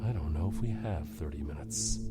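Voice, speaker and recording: An actor playing a gruff, terse spaceship captain who gives firm orders under pressure in a science fiction audio drama. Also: male voice, a bass voice, expressive, a little fast, over loud music. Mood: grim, tense. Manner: terse, authoritative.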